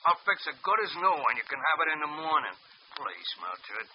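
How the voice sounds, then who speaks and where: A meek, timid simpleton. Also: male voice, fairly high pitch, very animated, moderate pace. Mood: pleading. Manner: timid, hesitant, pleading. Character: meek simple-minded man, eager to please. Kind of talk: 1940s radio acting. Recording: dull old radio broadcast with faint hiss.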